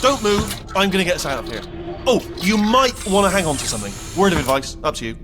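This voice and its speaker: Flustered, high-pitched British robot helper. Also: male voice, big pitch swings, fast, over loud music. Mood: flustered reassurance. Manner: rambling, stammering, blurting and backtracking. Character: chatty, panicky, bumbling male robot helper. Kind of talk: comic video game character acting.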